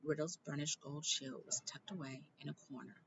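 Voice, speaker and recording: A woman reading a children's novel aloud informally, with animated delivery and the odd stumble and aside. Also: low voice, normal speed, vocal fry, highs cut off, a little hiss or hum. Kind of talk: expressive amateur read-aloud with asides.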